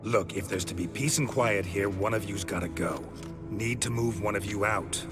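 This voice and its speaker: An actor playing a gruff, unflappable monster hunter for hire in a video game, blunt and laconic. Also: male voice, on the low side, moderate pace, over music, natural voice. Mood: dry, weary. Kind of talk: video game dialogue acting.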